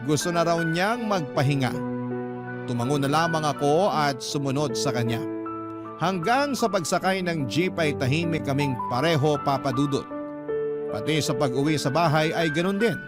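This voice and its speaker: Calm male narrator over loud music. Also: on the high side. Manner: calm, measured, confessional. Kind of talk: radio drama narration of a listener's letter. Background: loud music bed.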